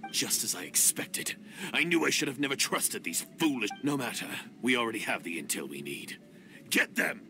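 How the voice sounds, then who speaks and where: An actor playing a cold, calculating secret agent in a video game, speaking in a hushed, partly whispered way. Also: male voice, mid-range voice, very animated, normal speed. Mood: commanding. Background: game music.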